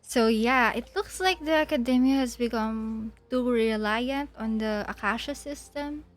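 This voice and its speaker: A woman's voice: a little high, unhurried. A woman livestreamer giving casual game commentary, thinking aloud and often repeating her words. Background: faint game music.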